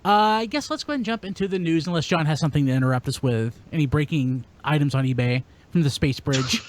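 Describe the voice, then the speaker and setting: Male podcast host, lively swings in pitch, lightish register, informal and exclamatory. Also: fast. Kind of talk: casual toy-news podcast chat.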